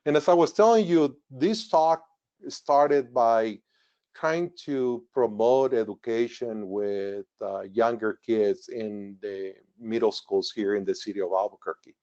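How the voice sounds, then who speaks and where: A man in his sixties with a Mexican Spanish accent, his first language Spanish, presenting over slides as a retired engineer. His fairly low voice is earnest and explanatory, with frequent fillers. The sound is dull and lo-fi, like webinar audio. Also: a little slow, dark tone. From northern Mexico.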